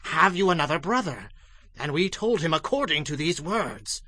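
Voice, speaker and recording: A man's voice: on the high side, very animated, moderate pace, bright, forceful tone, no room sound, heavily acted. An actor playing a defensive grown Hebrew son, insistently protesting his innocence in a dramatized scripture production. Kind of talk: dramatized scripture audio acting.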